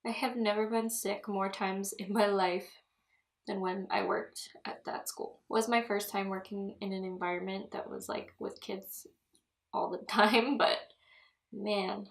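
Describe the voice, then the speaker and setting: Young woman, beauty vlogger, chatty and giggly, slightly stuffy nose, mid-pitched voice, echoey room. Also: fairly even intonation, measured pace. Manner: chatty, giggly, rambling asides. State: stuffy from a cold. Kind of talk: makeup tutorial chat.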